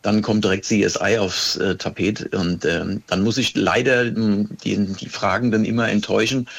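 Man, lowish voice, expert guest, casual, hesitant delivery. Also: steady tone, normal speed, creaky, breathy edge. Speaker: police handwriting expert guest. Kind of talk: casual interview chat.

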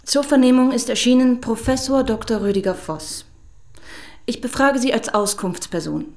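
A woman's voice: fairly high pitch, normal speed, darkish tone, slight background noise, close to an everyday voice. Curt female police detective played by a woman. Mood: stern, formal. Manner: curt, businesslike. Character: brisk, authoritative female chief police detective. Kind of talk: radio drama acting.

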